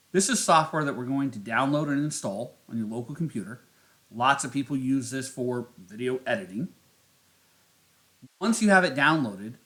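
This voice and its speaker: Guy doing a software how-to, really bright crisp-edged voice, normal pitch. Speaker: tutorial host. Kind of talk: step-by-step software tutorial narration.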